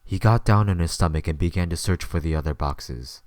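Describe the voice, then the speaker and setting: A man's voice: low voice, fairly quick, darkish tone, no echo, clean studio sound. A man reading scripted story narration as the narrator of an audio drama.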